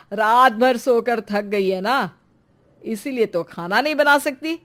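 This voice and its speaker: A woman voicing a bullying husband and father, loud, scolding and contemptuous. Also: fairly high pitch, slow pace. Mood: mocking.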